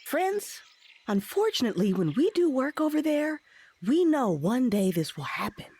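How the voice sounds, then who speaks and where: A woman's voice: high voice, very animated, normal speed, mellow, husky, heavily acted. A woman voicing a kindly, consoling stuffed toy who leads a support group in an audio drama, soothing and theatrical. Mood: consoling, sad.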